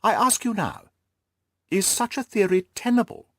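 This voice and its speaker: A man voicing an incisive, composed gentleman detective in an audiobook, questioning and reasoning with precise emphasis. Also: high voice, expressive, normal speed, no echo, studio quality, pitched above the performer's own voice. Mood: emphatic, reasoning. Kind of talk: audiobook character acting.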